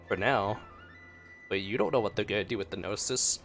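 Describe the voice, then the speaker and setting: A man's voice: fairly low pitch, brisk, vocal fry. A man giving casual reaction commentary as a game streamer, musing aloud and exclaiming. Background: game music.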